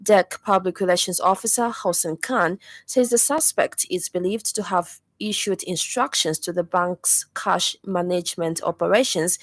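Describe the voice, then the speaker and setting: A woman with a Zambian accent reading a scripted radio news bulletin in a formal, measured way. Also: mid-range voice, no room sound. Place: radio studio.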